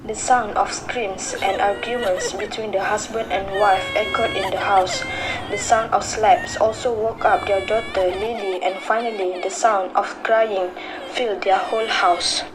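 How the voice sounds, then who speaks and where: A woman reads scripted narration for a radio drama. Her voice is of medium female pitch, and clear noise runs under the recording. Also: steady tone, measured pace. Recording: noisy amateur recording.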